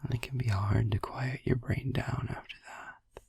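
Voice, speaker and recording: A man playing a soothing vampire boyfriend in an ASMR sleep-aid roleplay, musing gently in a hushed, breathy whisper close to the microphone. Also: medium pitch, fairly even intonation, dry recording. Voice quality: breathy whisper with gravelly creak. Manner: slow, hushed, gently musing with long pauses. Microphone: close microphone, dry.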